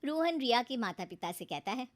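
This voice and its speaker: Female narrator, high voice, scripted storytelling for cartoon moral tales. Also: sing-song, normal speed.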